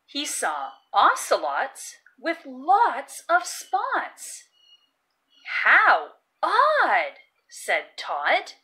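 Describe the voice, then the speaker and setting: Female read-aloud narrator for young children: very slow, hugely varied pitch, sing-song and deliberate, stressing key words. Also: huge pitch swings, sharp tone. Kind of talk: expressive picture-book reading with phonics teaching.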